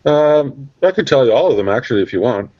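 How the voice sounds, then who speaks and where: A man's voice: medium pitch, lively intonation, fast talker, slightly rough, dry recording. A Canadian man, a podcast guest, chatting casually and rambling with many fillers. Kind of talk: casual interview chat. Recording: dull, dry sound.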